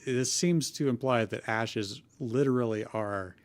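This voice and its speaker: A man's voice: medium pitch, unhurried. A man on a comedy reading panel, chatting casually and joking along. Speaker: panelist and reader on a comedy show.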